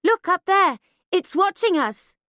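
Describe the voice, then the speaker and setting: Woman voicing a shrill, frantic mother. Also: big pitch swings, a little slow, bright, forceful tone, no echo, clean studio sound, heavily acted. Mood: frightened. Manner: shrill, pleading, then screaming. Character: frantic, controlling middle-aged mother turning violent.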